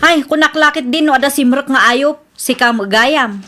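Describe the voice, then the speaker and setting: A very high-pitched actress as a fed-up wife in a radio drama. She speaks bluntly and with exasperation, in an Ilocano accent. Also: moderate pace, music in the background, natural voice. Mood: frustrated. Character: fed-up young widow complaining about her lazy second husband.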